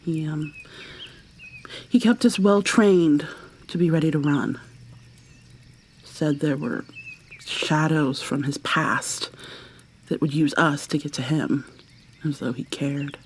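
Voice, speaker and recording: A woman playing a tender, possessive kidnapper in an ASMR roleplay, speaking softly and haltingly, often slipping into a breathy whisper as she coaxes. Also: low-pitched, slight background noise. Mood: wistful, subdued. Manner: coaxing, gentle, halting. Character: tender, possessive female kidnapper doting on her captive lover.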